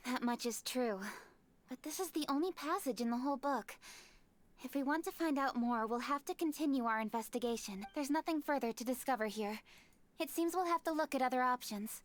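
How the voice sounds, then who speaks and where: A woman's voice: high-pitched, a little fast, close to an everyday voice. A woman voicing a composed, diligent young noblewoman in a video game, formal, articulate and decisive. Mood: composed, businesslike.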